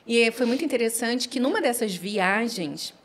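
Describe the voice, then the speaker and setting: A woman in her forties speaks in a fairly high voice with a mixed Brazilian accent. She is chatty and expressive, telling stories casually as an interview guest and voicing bits of dialogue. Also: moderate pace, breathy edge. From Rio de Janeiro and São Paulo, Brazil. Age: 40s. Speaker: podcast guest, pharmacist.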